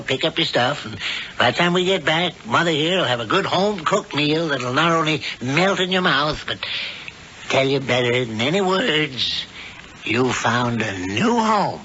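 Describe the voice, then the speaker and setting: An actor playing a folksy, fatherly husband with a sinister streak, smoothly persuasive, in a 1970s radio drama. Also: medium pitch, expressive, normal speed, pushed and bright. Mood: folksy, coaxing. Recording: dull, noisy old radio drama recording.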